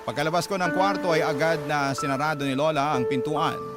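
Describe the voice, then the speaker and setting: A man's voice: a little high, fairly even intonation, fairly quick. A man telling a dramatic first-person story as a scripted radio narration. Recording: loud music behind the narration.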